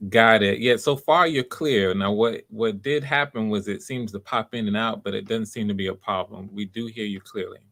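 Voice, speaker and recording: A man's voice: fairly low pitch, normal speed, few pauses, a bit bright. A man hosting an online audition in a casual, polite and encouraging way. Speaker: audition host.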